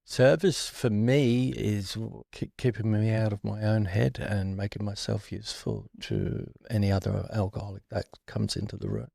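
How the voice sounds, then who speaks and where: A man in his forties, born in Ireland and raised in London, speaking as a guest in a recovery interview in a reflective, halting way with frequent restarts. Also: on the low side, steady tone, gravelly, dark tone, no room sound, studio quality.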